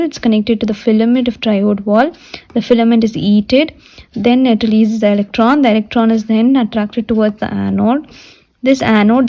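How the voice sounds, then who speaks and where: A woman giving a step-by-step technical lecture, restating her points deliberately as she explains. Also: fairly high pitch, darkish tone. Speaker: physiotherapy lecturer.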